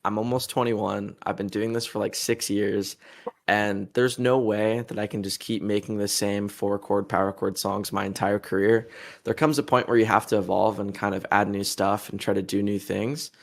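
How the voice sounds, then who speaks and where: Young male musician in his twenties, low-leaning voice, rambling and heated, crude talk stuffed with fillers. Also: fairly even intonation, moderate pace, gravelly. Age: 20s. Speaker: musician interviewee. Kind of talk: casual interview answer.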